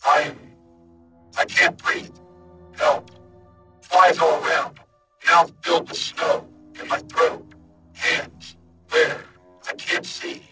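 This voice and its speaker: A performer voicing a lost, disembodied human mind, whispering in broken fragments with sudden loud outbursts, panicked and confused. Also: medium pitch, level tone, slow pace, pauses often, over music. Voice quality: mostly whispered, breathy. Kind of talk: horror audio reading.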